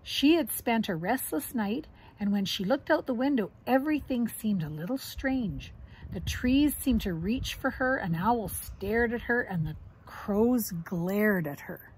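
A middle-aged woman with a husky voice reading a children's picture book aloud expressively, adding little asides for young listeners. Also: a little high, animated, measured pace, slight background noise, low-quality recording. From Canada. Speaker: grandmother reading to grandchildren. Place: outdoors on a deck or yard among trees.

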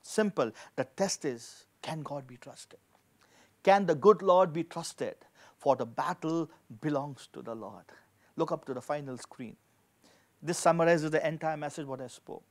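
A man's voice: on the high side, unhurried. A man in his forties preaching a sermon as a pastor, emphatically, repeating key phrases for effect. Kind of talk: sermon with scripture reading and prayer. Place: indoor studio with dark backdrop, at a lectern. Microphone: handheld microphone.

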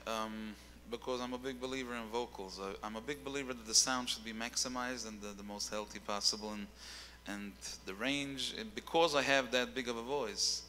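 A young adult man, a singer as guest, talking casually into a handheld mic on a dark stage, often repeating words as he thinks. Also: medium pitch, fairly even intonation, fairly quick, hardly any pauses, long reverb tail. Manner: rambling, repeats words while searching.

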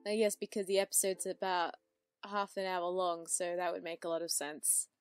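Casual woman commentator over soft music. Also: on the low side, steady tone, moderate pace, clear, resonant tone, no room sound. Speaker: reaction video commentator. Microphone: voice-over with background music.

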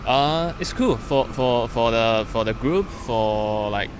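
A young man with a Chinese accent chatting casually in short, halting English phrases, outdoors on a shared handheld mic. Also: mid-range voice, lively intonation. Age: young adult. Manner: halting, brief non-native English phrases. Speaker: interview guest. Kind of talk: casual chat. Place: outdoors in a parking lot. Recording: outdoor urban and car noise.

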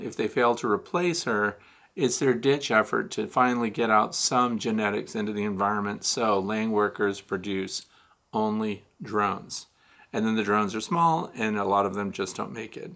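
A man's voice: medium pitch, fairly bright tone. A man in his sixties hosting a beekeeping question show, explaining step by step and answering his own questions. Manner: explanatory, poses questions then answers them. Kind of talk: casual instructional talk to camera. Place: home studio with neon sign, indoors.

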